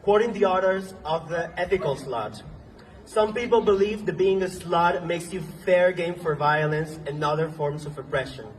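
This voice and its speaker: Young male rally speaker, earnest, a bit halting, slightly high voice, phone-recorded from afar outdoors. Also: far from the mic, rough recording. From Venezuela. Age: young adult. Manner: earnest, halting at times, with ums and restarts. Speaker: speaker at a protest march. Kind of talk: impassioned rally speech. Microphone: phone recording from the crowd.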